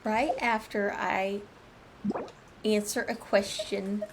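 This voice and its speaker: A woman's voice: medium pitch, fairly even intonation, slow pace, a little hiss or hum. A woman giving casual, chatty playthrough commentary on a story game, playfully talking back to it. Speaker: streamer playing a story game. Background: game music.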